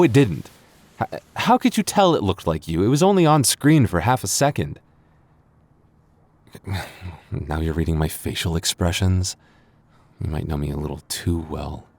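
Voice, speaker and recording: A man's voice: sits low, a little mellow, dry recording. A man playing a flustered, lovestruck young man in a boyfriend roleplay audio, stammering and bashful. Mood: flustered, defensive, then teasing. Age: young adult. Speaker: voice actor.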